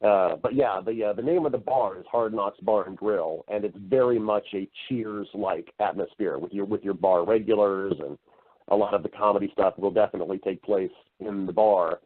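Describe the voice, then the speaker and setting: A man's voice: on the low side, moderate pace. A man chatting casually as an interview guest, talkative and given to explaining things at length in anecdotes. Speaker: interview guest, voice-over artist and actor. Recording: narrow, lo-fi phone-like line.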